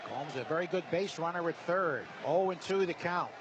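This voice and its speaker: A man doing live baseball play-by-play on the radio, in clipped phrases that build into drawn-out calls. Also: a little high, animated, highs cut off, a crowd in the background. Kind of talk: live radio sports commentary. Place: ballpark broadcast booth. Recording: very noisy ballpark radio broadcast.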